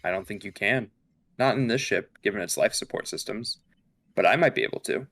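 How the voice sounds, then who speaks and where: A man reading the part of a cold, calculating, damaged android in a script table read, measured and precise. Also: on the low side, fairly bright tone, breathy edge. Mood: cool, evasive.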